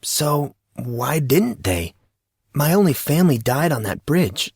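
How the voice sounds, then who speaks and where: Man as a troubled, questioning teen boy, pitched up. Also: very animated, slow pace, darkish tone, breathy edge, no room sound, clean studio sound, full character voice. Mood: puzzled. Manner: questioning, earnest. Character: Monson, troubled, questioning fourteen-year-old orphaned boy.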